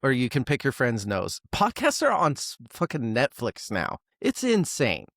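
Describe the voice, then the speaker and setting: A man's voice: medium pitch, wildly swooping pitch, moderate pace, gravelly, fairly bright tone, dry recording, studio quality. A man co-hosting a music podcast, chatting casually with sarcastic jokes and swearing. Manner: joking, profane, sarcastic. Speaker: podcast co-host.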